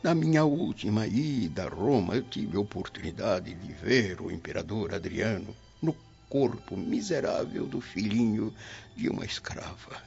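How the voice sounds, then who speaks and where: An actor playing a reflective, repentant spirit in a Brazilian radio drama, earnest and ponderous in theatrical style. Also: male voice, low voice, lively intonation, normal speed, gravelly, a little mellow. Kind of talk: theatrical radio play acting. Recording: dull lo-fi audio with music.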